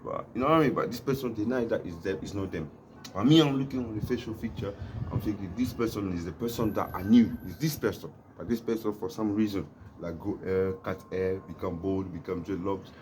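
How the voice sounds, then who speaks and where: A man's voice: fairly low pitch, fairly quick. Rambling African man, noisy recording. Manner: repetitive, keeps asking "you know what I mean?"; smoking while talking. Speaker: vlogger. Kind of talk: rambling monologue to camera. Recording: noisy background hiss.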